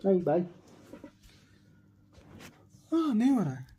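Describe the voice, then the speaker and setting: A man's voice: high voice, wildly swooping pitch, fast, mellow, a little hiss or hum. A man livestreaming a video game in a casual, reactive, exclamatory way, switching between English and Hindi. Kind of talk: casual live gaming commentary mixing English and Hindi. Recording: game music behind the voice. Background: game music.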